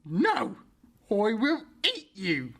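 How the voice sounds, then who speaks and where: A woman's voice: mid-range voice, wildly swooping pitch, drawn-out words, slightly rough, heavily acted. Wildly swooping wicked old witch. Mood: angry. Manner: commanding, curt. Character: wicked old witch, bossy, greedy, menacing. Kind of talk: fairy-tale radio drama acting.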